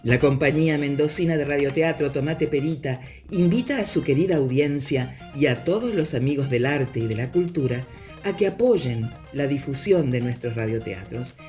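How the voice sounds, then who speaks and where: A woman's voice: rumbling low, a little mellow. An Argentine woman reading a scripted promotional announcement for a podcast. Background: guitar music.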